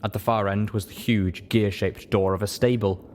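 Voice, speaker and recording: A man's voice: fairly low pitch, fairly even intonation, normal speed. A man reading scripted first-person story narration as an audiobook-style narrator. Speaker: narrator of a fan fiction reading. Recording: faint background noise.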